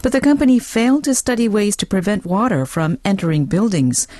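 A woman's voice: medium pitch, a little fast, a little mellow, no room sound, studio quality. A woman reading a scripted news report for radio.

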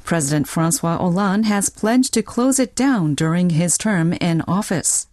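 A woman's voice: fairly low pitch, expressive, measured pace, a little mellow, no echo. A woman reading scripted international news as a radio newsreader.

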